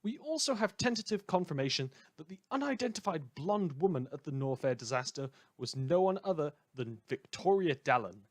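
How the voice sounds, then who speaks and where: A man's voice: fairly high pitch, very animated, a little fast, few pauses. A man playing a chatty, blithely amoral small-town radio host who rambles and corrects himself through a satirical news broadcast. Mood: enthusiastic newscasting. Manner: rambling, cheerfully flippant, self-correcting.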